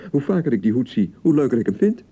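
An actor with a Dutch accent playing a young newlywed husband in a radio drama, placating and easily exasperated, with a hesitant way of talking. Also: male voice, mid-range voice, big pitch swings, fast, warm tone, breathy edge, highs cut off. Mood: exasperated, defensive. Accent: Dutch (Netherlands). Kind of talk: naturalistic radio drama acting.

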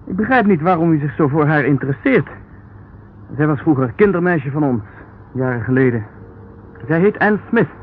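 Tinny radio actor's pompous, evasive lord. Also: male voice, a little high, lively intonation, moderate pace, long pauses, lightly acted. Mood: haughty, evasive. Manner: pompous, condescending. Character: haughty, evasive English lord, indignant dissolute aristocrat. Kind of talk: Dutch radio detective drama acting. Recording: tinny, band-limited, noisy old radio recording.

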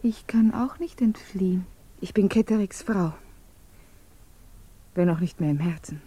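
An actress playing an unhappily married, secretly loving woman, tender and restless, with an intimate breathy delivery in a 1950s German radio play. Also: fairly low pitch, unhurried, mellow. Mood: grave resolve.

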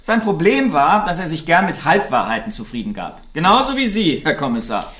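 An actor playing a smug, defiant activist leader under accusation in a radio crime drama, condescending and mocking with veiled menace. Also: male voice, a little high, big pitch swings, brisk, fairly bright tone, distant mic. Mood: scornful. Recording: echoing room, away from the mic.

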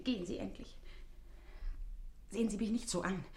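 An actress in a German radio drama playing a fervent, fragile woman consumed by love, halting and intensely emotional. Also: mid-range voice, steady tone, creaky, a little mellow, a little hiss or hum, natural voice. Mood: feverish, ecstatic.

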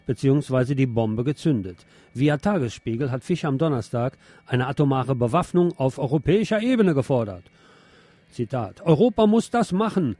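A man's voice: mid-range voice, warm tone, no room sound. A man hosting a radio programme, reading news and press commentary aloud in a wry, sardonic manner.

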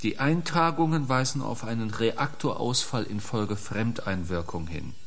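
An actor playing a sober, matter-of-fact expert giving a formal report in a science fiction radio play. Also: male voice, fairly low pitch, measured pace. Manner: formal, factual, orderly.

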